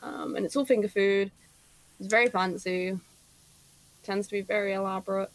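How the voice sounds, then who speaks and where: A woman's voice: mid-range voice, steady tone, moderate pace, poor audio. A young woman with an English RP accent, streaming a game and chatting casually, explaining things at length with plenty of ums. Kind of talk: casual gaming chat.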